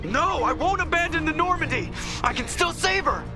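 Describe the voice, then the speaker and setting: Strained, very high-pitched desperate pilot. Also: male voice, expressive, moderate pace, music in the background. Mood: frantic, defiant. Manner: strained, frantic. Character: desperate, stubborn male starship pilot. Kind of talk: dramatic video game acting.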